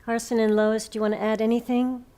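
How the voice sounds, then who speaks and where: A woman hosting a live panel interview on stage, phrasing her questions in a measured, deliberate way. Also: on the high side, little pitch movement, warm tone. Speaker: host and interviewer. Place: stage recording before a live audience.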